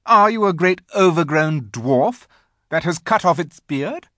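A man voicing an imperious, menacing witch queen in an audiobook, haughty and commanding, with drawn-out emphatic words and a streak of false sweetness. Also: on the high side, expressive, sharp tone, no echo, studio quality, voice pushed up. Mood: haughty, angry. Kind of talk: audiobook character voice.

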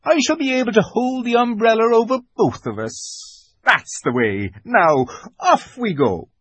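A man's voice: extremely high pitch, very animated, measured pace, a bit bright, no echo, pitched above the performer's own voice, exaggerated voice. A man voicing a polite, fussy, eager fawn creature in a storybook, with formal, flustered courtesy. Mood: eager, inviting.

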